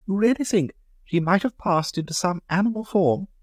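Guy doing a fussy anxious wife, goes way up high, husky, dry close sound. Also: animated, normal speed, dry recording. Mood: fretful. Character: prim anxious genteel young wife.

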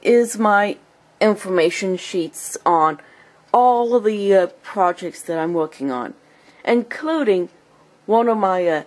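A woman with a cold and a speech impediment, giving a casual home tour in halting, choppy phrases. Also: medium pitch, lively intonation. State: has a cold, clearing throat. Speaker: amateur video blogger and hobby writer. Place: small home computer room. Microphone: handheld camera held by the speaker.

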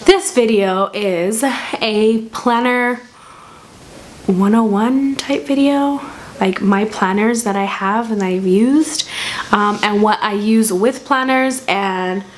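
A young woman talks casually to a camera in a small room at home, her voice a little hoarse and deep from a cold. She rambles in a friendly, chatty way, full of ums and quick restarts, at an ordinary middle pitch. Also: slight background noise. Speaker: vlogger. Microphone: camera in front at desk distance.

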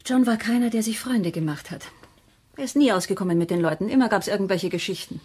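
Woman playing a sour curt sister in a crime radio play, husky medium voice, guarded. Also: medium pitch, fast, a little mellow, natural voice. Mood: sour, composed. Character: big, curt, sour, composed bereaved sister. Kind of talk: German radio crime drama acting.

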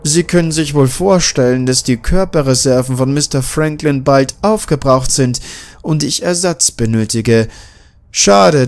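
A man's voice: mid-range voice, darkish tone, lightly acted. A man voicing a polite, cynical male mad-scientist doctor who speaks with mocking courtesy. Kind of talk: audiobook character reading.

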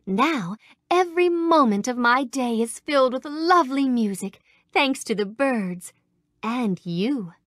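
A gentle, gracious young princess from a game, with a soft, sweet and refined tone. Her voice sits a little high and moves through a lively, sing-song melody. The close studio recording is dry, with no room around her. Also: unhurried, dry recording. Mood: grateful. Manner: polite, gracious, warmly grateful. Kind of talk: game voice clips. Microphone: close studio mic.

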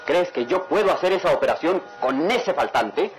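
Man acting stern, volatile radionovela father, swooping pitch. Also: animated, normal speed, soft background music, natural voice, poor audio. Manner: commanding, interrogating, declamatory. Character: stern, hot-tempered family father. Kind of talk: melodramatic radio drama acting.

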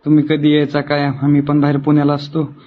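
A man's voice: a little high, completely flat delivery, fast, a little hiss or hum. A man talking on a recorded phone call in a probing, confrontational way. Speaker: phone caller. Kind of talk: phone conversation.

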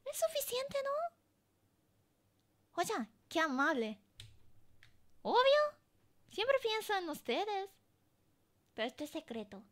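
A woman's voice: very high-pitched, wildly swooping pitch, takes it slowly, long pauses. A woman streamer chatting casually and playfully with her viewers during a live game event. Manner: chatty and playful, talking to chat.

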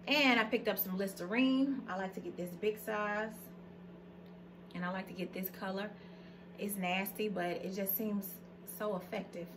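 There is clear background noise on this home recording, picked up by a camera mic in a plain room. An American woman with a medium-pitched voice talks in a casual, folksy, conversational way, like a vlogger presenting items to her viewers. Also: fairly even intonation, moderate pace. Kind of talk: casual product haul chat to camera.

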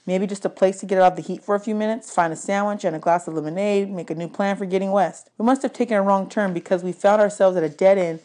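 A woman's voice: mid-range voice, steady tone, fast, hardly any pauses, a little mellow. A woman narrating a novel aloud as an audiobook reader. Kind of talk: read-aloud story narration.

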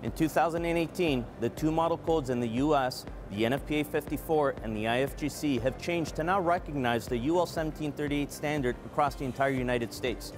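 A man in his forties, a product manager, giving a measured, earnest scripted product presentation at a trade show booth on a lapel mic. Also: a little high. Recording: clean voice over background music.

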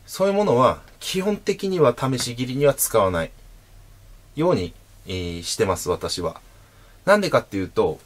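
A man in his thirties talks to the camera in a small indoor room, explaining things patiently and stopping often on fillers. His voice sits low but rises and falls widely, hopping up in pitch to stress key words. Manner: explains patiently with many fillers and pauses, demonstrating with a sword. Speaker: sword channel host. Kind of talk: casual explanatory talk to camera.

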